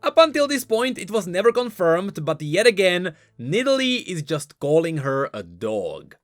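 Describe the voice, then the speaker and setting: A male YouTuber explains game lore in a fairly high voice with very lively swings of pitch. His delivery is scripted and emphatic, with light music behind him. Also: normal speed, dry recording. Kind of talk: scripted commentary on game voice lines.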